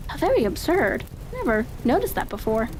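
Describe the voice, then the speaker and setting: Storybook client, genteel young woman, as a reader plays her, helpful and mystified, high voice with faint noise behind. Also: very animated, moderate pace, darkish tone, poor audio. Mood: puzzled. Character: polite, puzzled young Victorian lady, a client.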